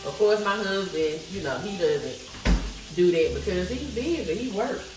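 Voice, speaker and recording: A woman's voice: on the low side, expressive, normal speed. Middle aged lady chatting casually in her kitchen, folksy talk, echoey room, music playing. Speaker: family vlog host. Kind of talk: casual kitchen vlog chat. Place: home kitchen. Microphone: camera on tripod at a distance. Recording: roomy echo, distant mic, background music.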